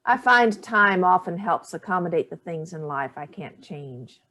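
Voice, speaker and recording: A woman's voice: lowish voice, animated, unhurried, sharp tone. A woman playing a guarded, sardonic older mother in a staged play reading, speaking in a dry, clipped way. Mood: dry, resigned.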